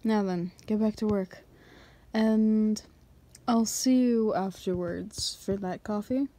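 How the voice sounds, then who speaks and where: A woman's voice: medium pitch, slow pace, dark tone, close to an everyday voice. A woman voicing a confident female boss in an ASMR roleplay, teasing her employee in a controlled, coy manner. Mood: teasing, seductive.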